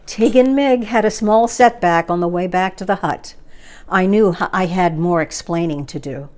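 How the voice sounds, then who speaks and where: A woman reading a children's picture book aloud as a story time reader, with expressive narration and acted-out dialogue. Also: on the low side. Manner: measured, animated read-aloud with acted dialogue.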